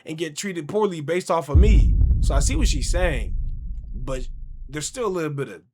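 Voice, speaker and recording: A young man hosting a casual reaction commentary, talking in an animated, conversational, slangy way. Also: fairly high pitch, normal speed, a little hiss or hum. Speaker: reaction video host.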